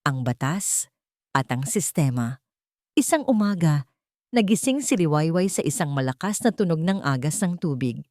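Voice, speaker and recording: A woman's voice: deep voice, animated, moderate pace, warm tone, no echo, studio quality. A woman with a Filipino accent reading a scripted dramatic story as its narrator.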